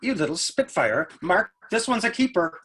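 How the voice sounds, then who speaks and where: Actor doing a jovial chatty middle-aged dad, businessman type, bright forward voice. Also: sits high, sing-song, normal speed, exaggerated voice. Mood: earnest. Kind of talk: staged play reading.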